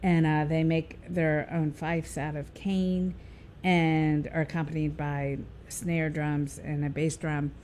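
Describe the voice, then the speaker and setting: A woman's voice: low voice, flat delivery, slow pace, darkish tone, a little hiss or hum. A woman, a radio guest talking casually with many fillers and restarts.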